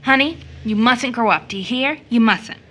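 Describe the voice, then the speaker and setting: A woman playing a doting, playful young woman who teases and gently corrects in an audio drama. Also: fairly high pitch, normal speed. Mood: fond, teasing. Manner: teasing, gently correcting, fond. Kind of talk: stage play audio drama acting.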